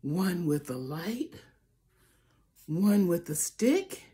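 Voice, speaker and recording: A woman's voice: lowish voice, big pitch swings, very slow, warm tone, husky. An older woman reading a picture book aloud to children, theatrically drawing out phrases and adding asides to the listeners. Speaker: storybook reader. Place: small room at home, indoors.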